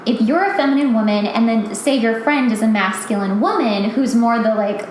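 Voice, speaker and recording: A young woman hosts a casual podcast chat, laying out her opinions emphatically and peppering them with "like". Her voice sits fairly high. She is recorded in a strongly echoing room. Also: moderate pace, a little hiss or hum. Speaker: podcast host. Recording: very echoey room.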